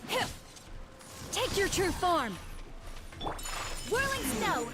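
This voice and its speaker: A woman's voice: very high-pitched, big pitch swings, drawn-out words, loud music behind the voice, full character voice. A woman voicing a graceful, spirited young noblewoman's battle cries in a video game. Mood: fierce, spirited.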